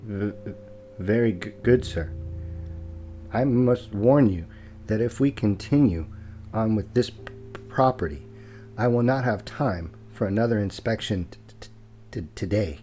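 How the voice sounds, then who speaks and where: A man's voice: lowish voice, animated, measured pace, pauses often, mellow, faint music behind. A man playing a formal, coolly courteous property broker who stutters, in an audio drama. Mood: grave, courteous.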